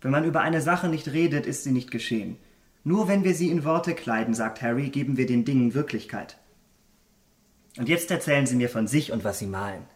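An actor playing a vain, callous young aesthete in a German radio drama, eloquent and theatrical. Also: male voice, medium pitch, brisk, close to an everyday voice. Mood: airy, dismissive. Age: young adult.

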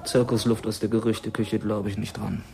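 A guarded informant from a show troupe in a radio crime play. The voice sits fairly low, and the talk is clipped, slangy and offhand. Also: male voice, level tone, brisk, creaky, darkish tone. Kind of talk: radio crime drama dialogue.

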